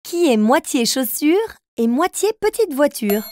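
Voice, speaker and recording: A woman playing an encouraging grown-up who puts riddles to small children in a playful, clearly spoken way. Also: high-pitched, sing-song, measured pace, a little mellow. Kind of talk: children's riddle game narration.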